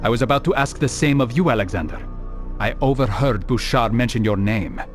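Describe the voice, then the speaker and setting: An actor as a measured, serious assassin hero. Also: male voice, medium pitch, soft background music, close to an everyday voice. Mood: firm. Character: calm, resolute male assassin hero.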